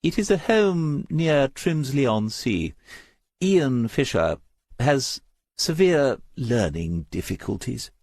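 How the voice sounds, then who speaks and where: A man's voice: mid-range voice, big pitch swings, takes it slowly, a little mellow, no room sound, studio quality, voice pushed up, heavily acted. A man voicing a pompous, genteel female gallery owner in an audiobook, proud and affected in manner. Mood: regretful, confiding. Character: genteel, self-important middle-aged female gallery owner, gushing sales talk. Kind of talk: audiobook character voice.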